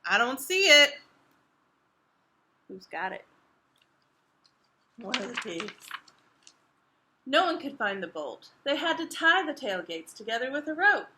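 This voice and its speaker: Bright, very animated woman reading a children's story. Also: high voice. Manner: expressive, asks the young listeners questions as she reads. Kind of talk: animated read-aloud of a picture book for toddlers.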